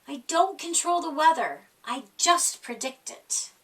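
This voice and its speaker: A woman's voice: sits high, animated, a little slow, a bit bright, far from the mic, exaggerated voice. A woman voicing an anxious, apologetic storybook groundhog who speaks hesitantly and earnestly. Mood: worried confiding. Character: people-pleasing, anxious, apologetic groundhog forecaster.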